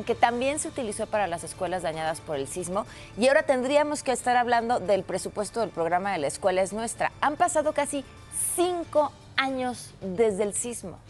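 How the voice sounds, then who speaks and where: A woman co-hosting a live morning news show in a studio, asking pointed, incredulous questions. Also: on the high side.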